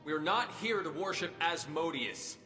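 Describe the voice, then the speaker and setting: A man's voice: on the high side, animated, a little slow, sharp tone. A man playing a hot-blooded, earnest young adventurer hero in a video game, speaking emphatically. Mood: resolute. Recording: reverberant hall with game music.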